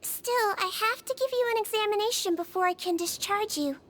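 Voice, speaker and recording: A woman voicing a gentle, earnest young head nurse in a video game, speaking with polite, professional consideration over background music. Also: very high-pitched, brisk. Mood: calm, reassuring.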